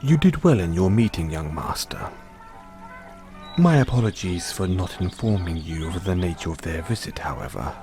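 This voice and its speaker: Man acting as British butler, low voice, lively intonation, formal, measured, deferential, wry devotion, audio roleplay, faint music behind. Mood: gentle, apologetic. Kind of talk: scripted roleplay, intimate second-person address.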